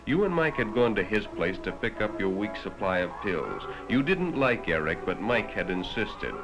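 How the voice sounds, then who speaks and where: A man's voice: lowish voice, sing-song, moderate pace, low-quality recording. An American man reading scripted narration in the grave, dramatic, moralizing manner of a 1960s educational film, addressing the listener directly. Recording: vintage 1960s film soundtrack. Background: prominent music.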